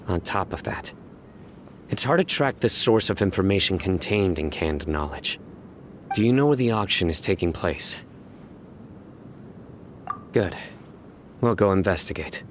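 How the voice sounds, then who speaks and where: A man voicing a stern, solemn investigator in a video game, speaking in a measured, grave and formal way. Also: low-pitched, a little hiss or hum. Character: stern, solemn young male investigator of few frills.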